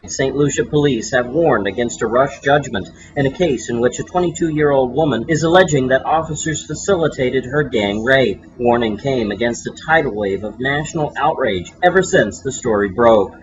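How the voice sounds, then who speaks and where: A man's voice: medium pitch, normal speed, hardly any pauses. A man reads the news as an anchor in a mid-range voice. His delivery is scripted, in the manner of a regional bulletin. The audio is dull and somewhat lo-fi.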